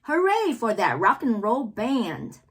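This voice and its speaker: A woman reading poems aloud for children in a theatrical way, drawing out words and pausing between phrases. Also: on the high side, big pitch swings, breathy edge. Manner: theatrical, drawn-out words with pauses. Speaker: read-aloud host, children's reader. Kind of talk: expressive poetry read-aloud for children.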